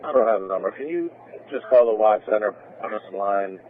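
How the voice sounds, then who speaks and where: Low-voiced, quick man on tinny police radio. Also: animated, slight background noise. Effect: two-way police radio. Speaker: police officer. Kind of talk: casual radio talk.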